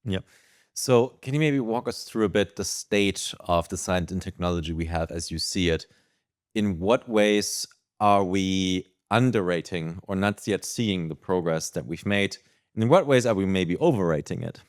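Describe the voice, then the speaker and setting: A man's voice: deep voice, normal speed, no echo, studio quality. A man hosting a podcast, introducing and questioning a guest in a conversational interview style. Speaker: podcast host.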